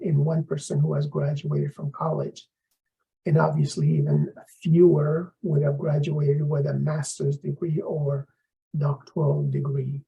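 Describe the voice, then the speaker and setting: A middle-aged man from Afghanistan, a professor introducing his course in a measured, explanatory lecturing manner. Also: on the high side, creaky, mellow, poor audio.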